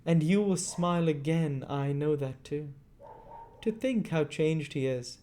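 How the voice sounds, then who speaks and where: Man playing an excitable high-pitched young orphan boy. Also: measured pace, slight background noise, lightly acted. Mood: tearful affection. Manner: gushing, emphatic, emotional. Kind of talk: storybook character reading.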